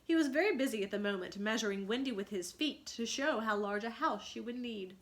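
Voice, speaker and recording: A woman's voice: fairly high pitch, animated, brisk. A woman reading a children's story aloud as a teacher, narrating expressively and asking explanatory questions.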